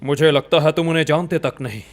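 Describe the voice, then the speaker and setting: A man's voice: on the high side, quick pace, a little hiss or hum, close to an everyday voice. A man voicing a sneering arrogant god guard. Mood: mocking. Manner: sneering, taunting. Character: arrogant menacing male god sentinel. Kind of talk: dubbed game cutscene.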